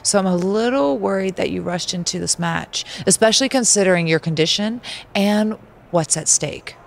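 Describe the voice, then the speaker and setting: An actor voicing a caring friend in a game drama. The voice has a marked creak and a careful, gentle delivery. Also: mid-range voice, normal speed, close to an everyday voice. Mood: worried, supportive. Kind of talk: video game cutscene acting.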